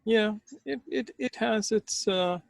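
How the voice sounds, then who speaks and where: A man with a husky voice who speaks as a storyteller and host, in a deliberate, measured way. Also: sits high, dry recording. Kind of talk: measured storytelling, then casual chat.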